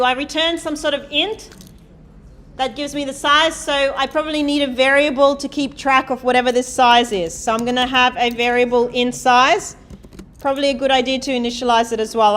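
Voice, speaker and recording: A woman giving a live coding lecture, talking loudly and quizzing the class as she types. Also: high voice. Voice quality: loud voice. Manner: chatty, asks the class questions, thinks aloud while typing. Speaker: university programming lecturer. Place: lecture hall.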